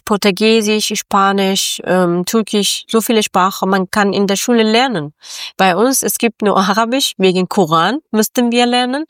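Woman speaking halting German. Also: medium pitch, no echo, studio quality. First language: Dari. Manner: hesitant, halting German with many fillers. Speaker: interview guest. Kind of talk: radio interview.

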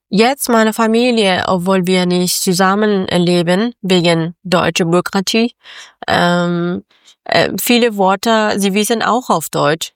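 Woman speaking halting German. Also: on the low side, dry recording, clean studio sound. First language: Dari. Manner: hesitant, halting German with many fillers. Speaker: interview guest. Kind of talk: radio interview.

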